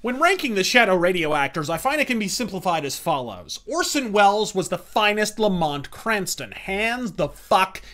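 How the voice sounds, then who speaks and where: A man's voice: high-pitched, big pitch swings, moderate pace, hardly any pauses, sharp tone, soft background music. A man delivering scripted, profane commentary in a verbose, caustic and emphatic manner. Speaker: video essayist and reviewer.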